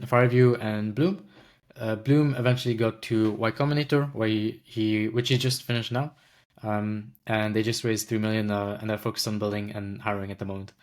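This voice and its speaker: A man hosting an interview podcast, asking questions in a relaxed, slangy, conversational way full of fillers. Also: on the low side. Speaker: podcast host. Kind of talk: casual interview.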